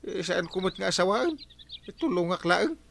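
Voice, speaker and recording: A man playing a bumbling, teasing villager in a comedy drama, stammering and excitable. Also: high voice, normal speed, vocal fry, exaggerated voice. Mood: bumbling, evasive. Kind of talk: broadcast comedy drama acting. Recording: loud background noise with birdsong.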